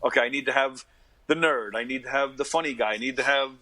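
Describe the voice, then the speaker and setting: An American man in his fifties, an interview guest, talking casually in a rambling, self-deprecating way and often clearing his throat. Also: medium pitch, fast talker, sharp tone. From Long Island, New York, United States. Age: 50s. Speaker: interview guest, actor and comedy performer. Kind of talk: casual conversational storytelling.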